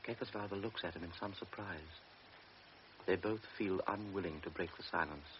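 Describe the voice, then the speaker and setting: A man narrating a radio drama in a measured, quiet way. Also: low voice, a little hiss or hum. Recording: dull old radio recording with music.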